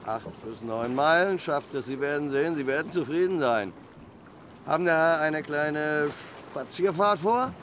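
A man's voice: a little high, expressive, normal speed, a bit bright. An actor playing a cautious, deferential male harbour boat pilot in a German audio drama, plain-spoken in manner. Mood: eager, proud. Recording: faint noise, watery harbour ambience. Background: water and harbour ambience.

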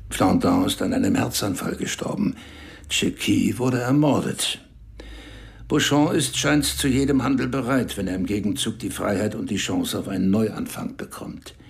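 An actor playing a tough, cynical police chief in a radio crime drama, blunt and quick to curse. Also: male voice, on the low side, gravelly. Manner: blunt, cursing, gets heated.

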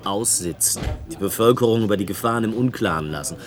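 An actor as a low-voiced, insistent whistleblower. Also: male voice, fast, music in the background, close to an everyday voice. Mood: accusing. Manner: insistent, pointed. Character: principled male whistleblower expert, insistent, accusing. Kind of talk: German radio play acting.

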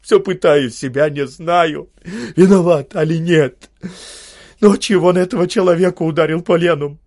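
A man voicing a desperate young ex-convict who shouts hoarsely and chokes on his words. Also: on the high side, moderate pace, pitched above the performer's own voice, lightly acted. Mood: anguished. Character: desperate young male ex-convict, wild and anguished.

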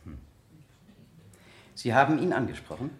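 A man's voice: medium pitch, big pitch swings, normal speed, close to an everyday voice. A man acting a formal male examiner in a radio courtroom play. He questions methodically in short prompts, with widely varied intonation and a strong roomy echo. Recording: roomy 1970 radio drama studio.

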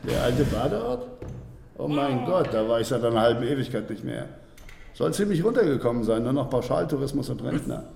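An actor in a German radio drama playing a brash, bossy, gruff movie director. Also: male voice, medium pitch, sing-song, a little fast, darkish tone. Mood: jovial. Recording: echoey hall with noise behind.

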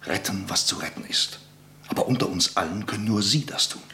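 A humble, solemn small-town politician in a radio drama. His voice is very low and bright-edged, with earnest, hesitant delivery. A faint hum sits behind him. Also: brisk. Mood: earnest, pleading. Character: solemn, humble, naive conservative provincial lawyer and politician. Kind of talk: German radio play acting.

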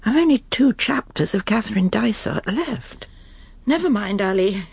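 An actress playing a prim, self-satisfied, controlling middle-aged English wife in a radio drama, brisk and genteel. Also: female voice, medium pitch, animated, vocal fry, darkish tone, breathy edge, dry recording, full character voice. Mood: brisk, wondering. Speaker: lead actress and narrator. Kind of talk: radio drama acting with inner monologue.

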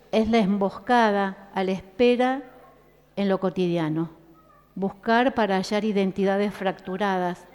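A woman presenting a book at a live event, speaking casually with fillers and then reading a prologue aloud in measured phrases. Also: mid-range voice, darkish tone, clear, resonant tone. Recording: reverberant hall.

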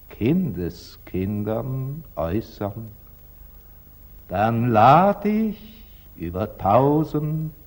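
An actor playing a wise, dignified, middle-aged merchant in measured, solemn declamation, in the style of 1940s radio drama. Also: male voice, medium pitch, darkish tone, heavily acted. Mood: exhortatory.

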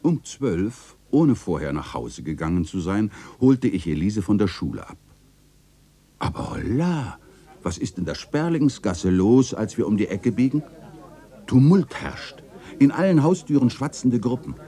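A man's voice: lowish voice, big pitch swings, a little slow, mellow. An actor playing an observant uncle who narrates his memories vividly, in a literary radio play. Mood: lively. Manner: vivid storytelling.

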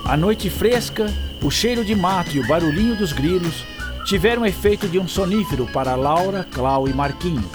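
A man narrating a scripted children's story in an expressive storytelling style. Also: high voice, moderate pace. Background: music.

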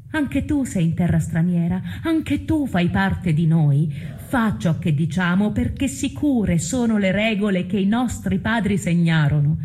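A stern guardian of old rules in a stage drama. Her voice is on the low side and mellow, and she recites in a solemn, measured, admonishing way. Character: stern immigrant woman, cautious guardian of ancestral rules.

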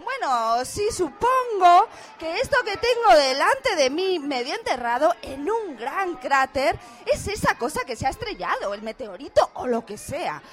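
A woman playing a flustered, excitable female field reporter who stammers and repeats herself in a parody radio broadcast. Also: sits high, a little slow, hardly any pauses. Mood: excited. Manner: breathless exclamations, stammering, exasperated repetition. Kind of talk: radio theatre parody of live news report.

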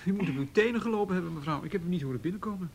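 A man's voice: sits high, rapid-fire, gravelly, heavy background noise. A man acting in a radio play as a patient, principled police officer on duty, in naturalistic dialogue. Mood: polite, inquiring.

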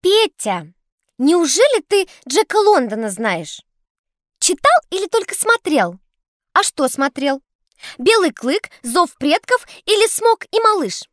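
A woman's voice: very high voice, a little slow, no echo, studio quality, heavily acted. A woman voicing an earnest, bookish, mildly bossy schoolgirl in a scripted children's educational audio play. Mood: earnest, lecturing. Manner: earnest, didactic, expressive.

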